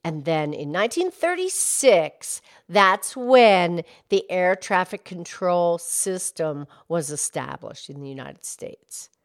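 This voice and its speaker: A woman co-hosting a podcast, explaining things in a measured, matter-of-fact way. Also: on the low side, expressive. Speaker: podcast co-host.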